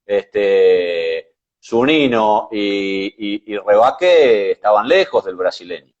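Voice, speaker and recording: A man with an Argentine accent, co-hosting a casual motorsport chat, talking in a measured way with hesitations. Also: on the low side, animated, darkish tone, highs cut off. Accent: Argentine Spanish. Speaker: co-host.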